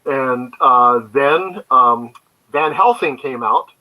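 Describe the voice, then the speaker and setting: A man speaking as a creator guest in an interview on an online call, telling stories conversationally in a halting way. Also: medium pitch, sharp tone, rough recording. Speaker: guest, comic book creator. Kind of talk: conversational interview answers, storytelling. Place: remote online video call.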